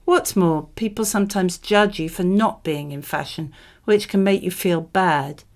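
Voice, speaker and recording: A woman reading scripted model answers for a speaking exam in a measured, expressive way. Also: fairly low pitch.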